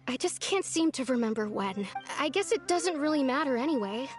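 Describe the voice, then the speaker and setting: High-voiced, wistful young woman. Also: expressive, fairly quick. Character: wistful young woman putting on a brave face. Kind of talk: video game voice acting. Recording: soft game music behind.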